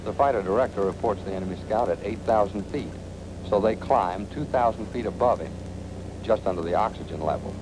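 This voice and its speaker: A man's voice: lowish voice, fairly quick, background noise. An American man narrating a 1940s military training film in a punchy, colloquial, dramatic storytelling manner. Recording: 1940s film soundtrack with music.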